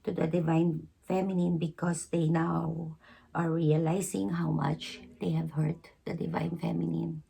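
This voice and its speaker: A woman giving an intuitive tarot reading, hoarse because she is losing her voice, speaking slowly with many halting pauses. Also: sits low, flat delivery, warm tone. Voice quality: hoarse, gravelly. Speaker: tarot reader.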